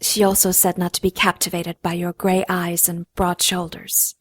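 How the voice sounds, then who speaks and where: A woman's voice: fairly low pitch, level tone, moderate pace, no echo, lightly acted. A woman playing a worried, protective single mother, speaking politely and earnestly in a radio drama.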